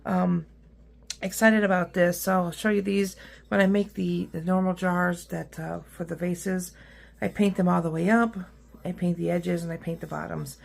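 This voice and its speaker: Hoarse, gravelly-voiced woman craft host. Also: medium pitch, darkish tone. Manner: chatty and upbeat, with frequent ums and uhs. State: rough, hoarse voice. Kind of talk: casual how-to craft demonstration.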